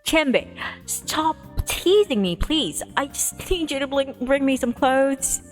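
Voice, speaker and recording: A flustered young visual novel hero, played by a woman, high-pitched and indignant. He whines in exasperation and embarrasses easily, with music behind him. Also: animated, slow, in a large hall. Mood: exasperated, pleading.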